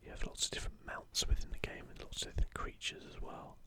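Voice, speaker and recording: Softly whispering man, ASMR style. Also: fast talker. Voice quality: soft, breathy unvoiced whisper. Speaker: host of an ASMR gaming channel. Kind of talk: whispered ASMR, casual show-and-tell of an art book.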